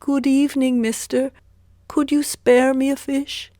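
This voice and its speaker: A woman's voice: sits high, level tone, unhurried, mellow, no room sound, clean studio sound, voice pushed up. A woman voicing a poor, hungry man who begs politely and pleadingly in a bedtime story.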